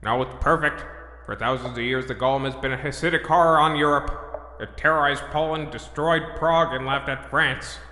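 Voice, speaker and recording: A man's voice: medium pitch, steady tone, normal speed, pushed and bright, close to an everyday voice. A man playing a grandiose, petulant, gloating supervillain in a comic audio drama. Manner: melodramatic, gloating, pompous. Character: theatrical, grandiose, petulant male cartoon supervillain scheming monsters. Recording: echoey reverberant room with background noise.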